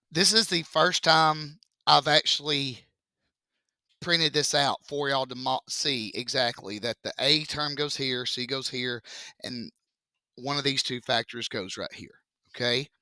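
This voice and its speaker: Male teacher with a froggy voice; slow, jokey, bright and forceful tone. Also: medium pitch, no echo. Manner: joking, chatty, slow step-by-step explaining. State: deep froggy voice from a cold. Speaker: math teacher. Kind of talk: casual classroom lesson video.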